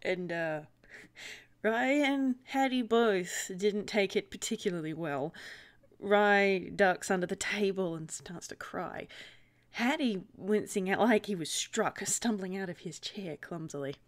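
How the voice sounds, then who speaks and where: Mid-pitched woman narrating roleplay. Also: measured pace. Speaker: roleplay player and reader. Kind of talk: narrating character actions in roleplay.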